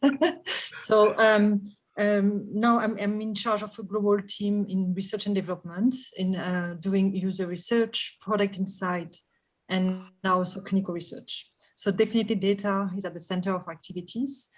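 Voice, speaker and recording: A woman's voice: mid-range voice, steady tone, moderate pace. French-accented woman introducing herself. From France. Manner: hesitant, many fillers. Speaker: course participant, research manager.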